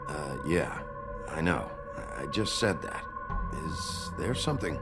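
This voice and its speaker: A low, gravelly game detective with a short fuse. His delivery is blunt and sardonic, jumping from mutters to snarls, with music underneath. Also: big pitch swings, slow, heavily acted. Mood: wary concern. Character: gruff, hot-tempered male detective with a short fuse. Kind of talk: video game voice acting.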